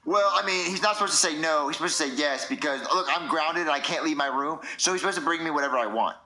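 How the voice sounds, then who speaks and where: Puppet comedy kid, played by a man: bright high voice, naive, demanding, wheedling. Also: quick pace, rough recording. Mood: scheming. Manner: stammering, wheedling, childish. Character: naive, whiny, demanding young boy puppet.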